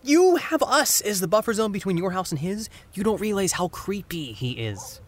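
A man's voice: high voice, sing-song, normal speed, a little hiss or hum. A man playing a wry, cynical teenage boy next door in a naturalistic audio drama, sardonic and prone to exaggerate. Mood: exasperated.

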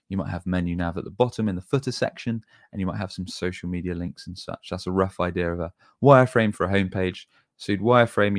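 Male tutorial presenter, lower register, informal explainer, very dry recording. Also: no room sound. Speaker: web design agency presenter.

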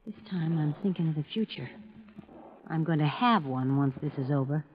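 An actress playing a shrewd, calculating young wife in a 1950s radio drama, cool and deliberate as she bargains. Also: low voice, expressive. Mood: coolly determined. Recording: muffled old radio recording.

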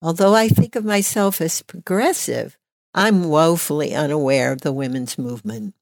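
A woman's voice: low-pitched, normal speed, a little mellow, dry recording, clean studio sound. A woman in her eighties reading her own written personal story aloud as a storyteller. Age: 80s.

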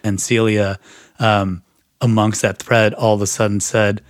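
A man's voice: lowish voice, level tone, measured pace. A man from Chicago, an artist and designer, talking at length in an interview with many fillers. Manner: chatty, lots of fillers. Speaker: guest, artist. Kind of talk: interview talk.

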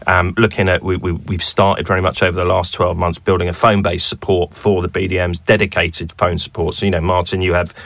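Deep-voiced hesitant man on a podcast. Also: level tone, fairly quick, hardly any pauses, a bit bright, dry recording. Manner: hesitant, many repeated words. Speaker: guest, bank sales director. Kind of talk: podcast interview.

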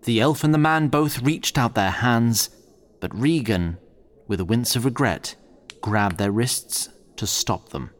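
A man's voice: mid-range voice, measured pace. A man narrating a fantasy audio drama in a scripted storytelling style.

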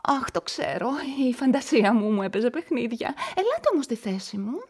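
A jittery events manager who tells her own story in a breathy, fairly high voice and keeps slipping into hushed asides to the listener. Her tone rises and falls a lot in dialogue, and soft music plays underneath. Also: sing-song. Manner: confiding, often drops to a whisper. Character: nervous, talkative female events manager caught up in a murder. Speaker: narrator and main character, events manager. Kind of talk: first-person radio drama narration with whispered asides.

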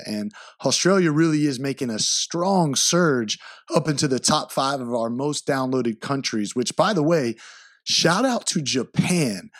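A man hosting his podcast, giving upbeat, hyped shout-outs to listeners. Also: medium pitch, no echo, studio quality. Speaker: podcast host. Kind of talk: solo podcast intro.

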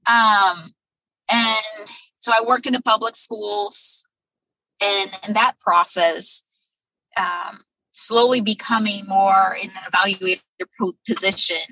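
Woman guest in her thirties, bright husky tone, dry close sound, measured answers with fillers. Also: medium pitch, fairly even intonation, no room sound, low-quality recording. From Brazil. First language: Portuguese. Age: 30s. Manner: thoughtful, many um pauses. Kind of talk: interview answers.

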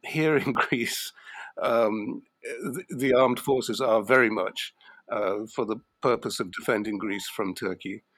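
Man, low voice, dry close sound, measured, hesitant. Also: fairly bright tone, no echo. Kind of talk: discussion panel commentary. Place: Greece.

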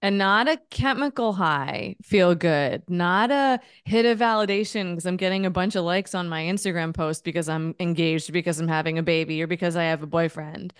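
Woman in her thirties, podcast co-host, earnest and animated, clean voice in a dry space. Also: fairly low pitch, normal speed, few pauses, clean tone, dry recording. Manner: earnest, animated, talks in long runs. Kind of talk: casual podcast chat.

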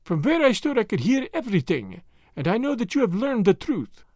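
A man's voice: high-pitched, big pitch swings, brisk, darkish tone, no room sound, clean studio sound, voice pushed up. A man voicing a dignified, defiant foreign woman with a foreign accent, in a storybook reading. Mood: resigned, dignified.

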